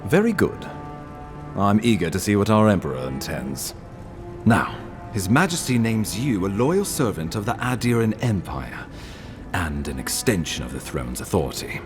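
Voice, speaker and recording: An actor playing a grave, courtly imperial ambassador in a video game, speaking formally and deliberately. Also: male voice, on the low side, sing-song, music in the background. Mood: composed, formal. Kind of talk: scripted video game dialogue.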